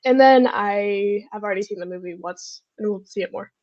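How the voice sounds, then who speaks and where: A woman's voice: medium pitch, moderate pace, darkish tone, dry recording. Dull-sounding female podcast guest, casual. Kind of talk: casual chat.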